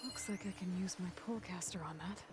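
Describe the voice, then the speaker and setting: A woman's voice: fairly low pitch, a little fast, darkish tone, a little hiss or hum, close to an everyday voice. An actress playing a determined, self-reliant young woman adventurer in a video game, muttering terse asides to herself. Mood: pensive.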